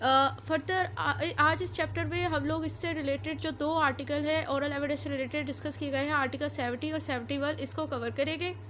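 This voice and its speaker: A woman's voice: high-pitched, fairly even intonation, moderate pace, few pauses, fairly bright tone, a little hiss or hum. A woman with a Pakistani accent giving an explanatory law lecture, repeating and rephrasing her points as she goes. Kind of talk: explanatory lecture mixing Urdu and English.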